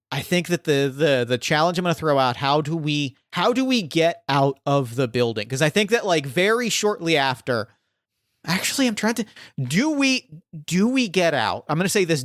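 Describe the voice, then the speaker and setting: A man's voice: on the high side, a bit bright, no echo, studio quality. A man narrating as game master in a casual, hesitant way with many restarts.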